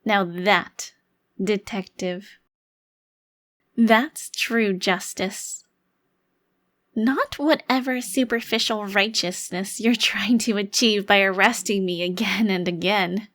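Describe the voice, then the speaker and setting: A woman's voice: medium pitch, takes it slowly, fairly bright tone. A woman playing a haughty, centuries-old vampire crime lord trapped in a child's body, speaking formally in an ASMR roleplay. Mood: grim, defiant.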